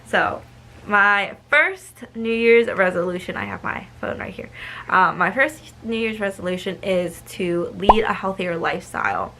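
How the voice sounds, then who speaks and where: A woman's voice: mid-range voice, animated, measured pace, a bit bright, slight background noise. A young woman talking casually to camera in a vlog, chatty, with frequent ums and likes. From United States. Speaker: vlogger.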